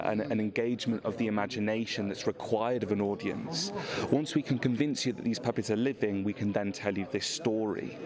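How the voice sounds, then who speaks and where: A man giving thoughtful, articulate explanations in an interview. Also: on the low side. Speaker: theatre interviewee.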